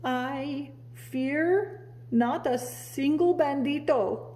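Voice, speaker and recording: Storybook cat boy voiced by a woman: small, cocky would-be swordsman, mock-heroic, slow drawn-out phrases. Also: high voice, warm tone, voice pushed up. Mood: nervous bravado. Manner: theatrical, mock-heroic delivery with drawn-out words. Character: small boastful young male cat posing as a Chihuahua sword fighter.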